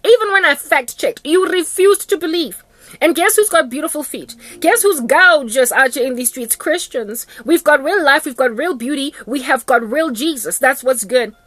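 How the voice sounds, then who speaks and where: A South African woman with a high voice delivers an informal sermon. She speaks emphatically and repeats her phrases to drive them home. The sound is close and dry, with no room around it. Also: fairly bright tone, no room sound. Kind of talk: impassioned informal sermon monologue.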